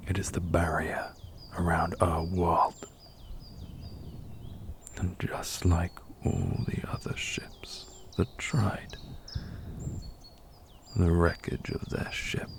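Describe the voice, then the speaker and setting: A man playing a brooding dark fantasy prince who is tender with his lover, in an intimate, hushed ASMR roleplay, gravelly and at times whispering. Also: low voice, exaggerated voice. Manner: slow, hushed, deliberate with long pauses.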